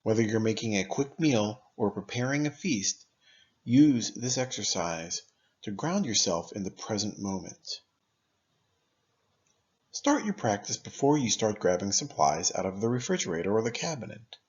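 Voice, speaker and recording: A man narrating a scripted guided meditation in a measured, instructive manner, pausing deliberately between phrases. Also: lowish voice, sing-song, muffled recording.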